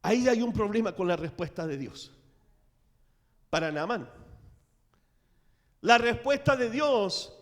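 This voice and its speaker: A middle-aged man preaching a sermon in a church, telling the story with dramatic swings in loudness. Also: high-pitched, expressive, slow, pauses often. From Latin America. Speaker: pastor. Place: church sanctuary. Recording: reverberant church hall.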